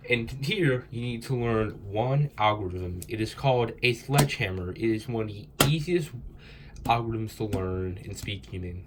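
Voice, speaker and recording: A young man with a cold, his voice stuffy and congested, explains each step in a loose, meandering way full of fillers. His voice sits on the low side, and a faint hiss runs underneath. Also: normal speed. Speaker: cubing tutorial host. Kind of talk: casual tutorial explanation.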